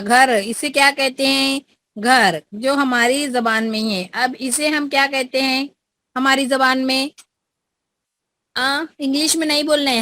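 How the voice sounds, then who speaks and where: A woman's voice: high voice, a bit bright, dry recording. A woman teaching an online class to schoolchildren over a video call, coaxing them and repeating her prompts, with an Indian accent.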